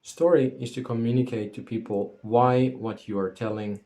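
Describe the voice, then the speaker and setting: A man's voice: medium pitch, fairly even intonation, normal speed, mellow. A man, earnestly narrating a scripted motivational story as a solopreneur creator. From Finland.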